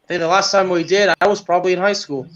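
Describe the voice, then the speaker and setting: A man with a notably high voice talks football as a podcast co-host, heard through dull, lo-fi audio. He is opinionated and emphatic, repeating key words to drive a point home. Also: steady tone, quick pace. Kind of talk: opinionated casual football commentary.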